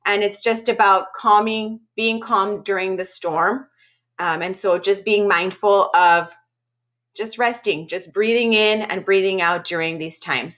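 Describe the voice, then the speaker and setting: A woman teacher reading aloud and chatting to her middle school students, with a playful, expressive delivery. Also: mid-range voice. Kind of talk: expressive read-aloud storytelling with casual asides to students. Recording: dull, muffled lo-fi audio.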